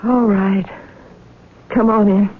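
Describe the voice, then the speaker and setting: A woman's voice: medium pitch, steady tone, takes it slowly, background noise, lightly acted. An actress playing a wistful, plain-spoken young Texas country woman in a 1970s radio drama. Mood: wary, reluctant. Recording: dull vintage radio broadcast recording. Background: music.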